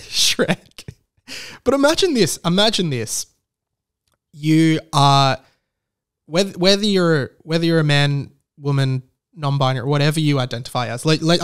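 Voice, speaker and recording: Australian male podcast host, thirties, higher-than-average voice, casual delivery with wry, mock-serious musings. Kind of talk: casual solo podcast commentary.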